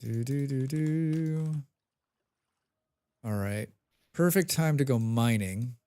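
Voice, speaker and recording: A man's voice: mid-range voice, slow pace, warm tone, clean tone. A man with a head cold chatting casually while live-streaming a game, humming to himself and answering his chat.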